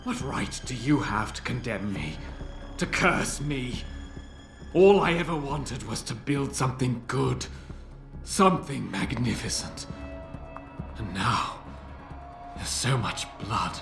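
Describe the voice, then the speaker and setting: An actor playing an aging, crippled visionary inventor in a video game, eloquent and theatrical, grandiose, bitter and anguished. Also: male voice, medium pitch, lively intonation, vocal fry. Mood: anguished despair. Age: older. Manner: eloquent, theatrical, deliberate oratory. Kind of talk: video game cutscene acting. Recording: roomy game audio with faint music.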